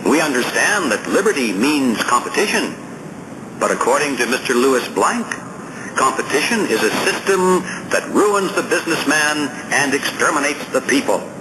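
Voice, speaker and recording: A man narrates an audiobook over clearly audible background noise. His delivery is formal and oratorical, with deliberate emphasis on the written phrases. His voice sits at a medium pitch. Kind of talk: scripted narration, reading a political essay.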